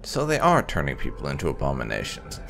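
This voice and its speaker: A man's voice: rumbling low, huge pitch swings, quick pace, vocal fry, fairly bright tone, soft background music. A man hosting a gameplay video with casual, lightly role-played commentary, muttering to himself with dry sarcasm. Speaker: gaming host.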